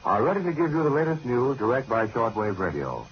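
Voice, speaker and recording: Male vintage radio network announcer, medium pitch, formal scripted intro, dull recording. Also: big pitch swings, fairly quick, slight background noise.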